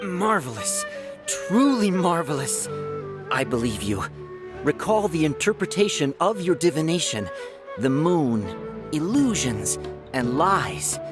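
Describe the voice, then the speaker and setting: A showy fantasy game fortune teller. He is eager and theatrical, with a lively swing in his pitch, and music plays behind him. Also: takes it slowly. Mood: solemn awe. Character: showy, excitable male fortune teller, self-assured. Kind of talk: English game dub cutscene dialogue.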